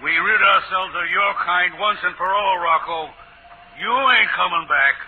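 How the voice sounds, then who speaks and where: A defiant old father played by a male actor, high in pitch and burning with folksy, righteous outrage. It is radio melodrama from the 1940s, with a narrow band and faint hiss. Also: moderate pace, natural voice. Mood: outraged. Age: older. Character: crippled old father, indignant, stubborn, defiant. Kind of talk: 1940s American radio drama acting.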